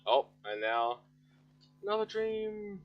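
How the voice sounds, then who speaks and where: A man's voice: sits high, big pitch swings, slow pace, highs cut off, a little hiss or hum. A man giving casual live commentary as he streams a video game, in short reactions. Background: game music.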